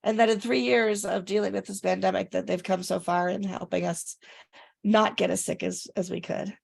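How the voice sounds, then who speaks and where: A woman co-hosting a casual chat, her voice raspy and congested from recent illness, talking in a rambling way with fillers. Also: mid-range voice, fairly even intonation, normal speed. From Rochester area, upstate New York. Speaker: podcast co-host.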